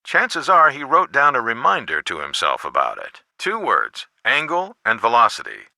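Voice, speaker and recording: A caring American father in a mystery game. His voice is very bright and forward, with animated intonation. He speaks articulately, giving fatherly advice, and sounds close and dry. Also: medium pitch, moderate pace, vocal fry, dry recording, heavily acted. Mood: friendly, encouraging. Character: teenage detective's caring, measured lawyer father. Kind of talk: video game voice acting.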